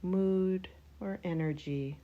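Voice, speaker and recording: A woman leading a guided yoga nidra relaxation, speaking in gentle, spaced-out phrases as she gives unhurried instructions. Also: lowish voice, dull audio.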